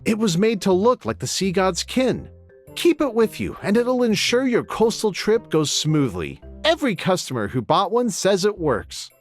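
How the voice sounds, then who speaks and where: An actor playing a courteous, eager local vendor, giving polite storytelling sales patter in a video game cutscene. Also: male voice, sits high, very animated, moderate pace, faint music behind. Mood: courteous, persuasive.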